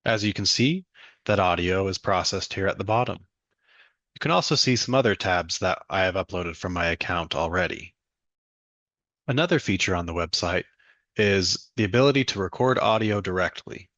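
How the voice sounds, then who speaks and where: A young man guides the listener through a software demo step by step. His voice is on the low side, and the sound is close and dry with no room echo. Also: animated, moderate pace. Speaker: student demo presenter.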